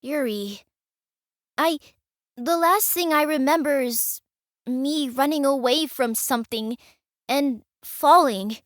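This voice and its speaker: A woman's voice: high-pitched, slow pace, pauses often, dry recording, clean studio sound. A woman voicing a small, naive, cheerful male candle creature in an audio drama, childlike and innocent. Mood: sheepish, uneasy.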